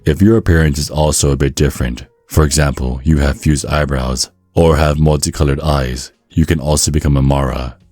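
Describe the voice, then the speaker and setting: Very deep-voiced man narrating ASMR. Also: fairly even intonation, a little fast, hardly any pauses, a little mellow, no echo, faint music behind.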